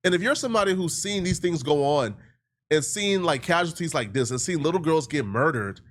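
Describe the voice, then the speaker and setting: A man's voice: on the high side, animated, fairly quick. A man giving emphatic, indignant, slangy commentary, repeating phrases for effect. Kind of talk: animated opinionated video commentary.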